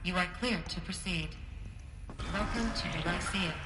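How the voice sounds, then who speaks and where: A woman's voice: on the low side, normal speed, close to an everyday voice. A woman voicing a polite facility computer in a video game, making formal, procedural announcements. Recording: echoey game audio over music.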